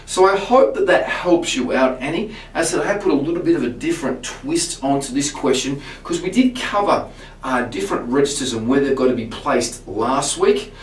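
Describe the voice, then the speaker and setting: A man, a singing teacher, explaining vocal technique to camera in a relaxed way, often restarting his words. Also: on the high side, fairly quick, a little hiss or hum. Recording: roomy sound from a distance.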